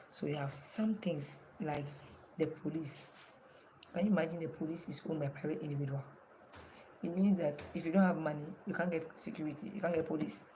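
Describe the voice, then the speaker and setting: A woman's voice: sits low, warm tone, a little hiss or hum, rough recording. A woman teaching an online class with a strained voice, asking her students questions and repeating the key terms.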